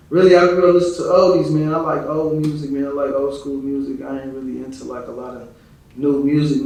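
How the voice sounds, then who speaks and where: A man from Chicago talking casually as an interview guest, with slangy, profane phrasing and frequent verbal tags. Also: medium pitch. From Chicago, United States. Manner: slangy, profane, frequent "you know what I'm saying". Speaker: interview guest, rap artist. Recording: echoey room, distant from mic.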